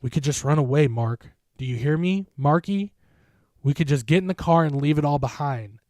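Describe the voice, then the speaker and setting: A man's voice: on the high side, moderate pace, no room sound, clean studio sound, pitched above the performer's own voice, natural voice. A frantic tearful young woman, voiced by a male narrator. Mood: pleading. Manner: shouting, pleading.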